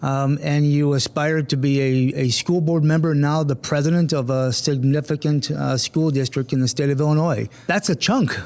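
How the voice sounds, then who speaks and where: A man interviews a guest as a school superintendent, building long questions that stall and restart on "um" and "uh." His voice sits a little higher than most men's. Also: steady tone, a little mellow. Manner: halting, restarting questions with many "um" and "uh" fillers. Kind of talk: conversational interview hosting.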